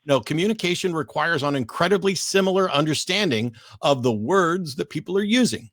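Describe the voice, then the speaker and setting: A man's voice: a little high, animated, a little fast, a bit bright, no echo. A male host arguing with a caller, firm and insistent. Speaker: talk show host. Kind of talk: call-in debate.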